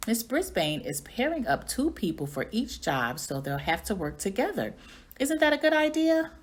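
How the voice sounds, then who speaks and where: A woman playing a small, curious classroom hamster from a children's storybook, earnest, chatty and expressive. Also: a little high, moderate pace, a little hiss or hum, voice pushed up. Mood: eager, helpful.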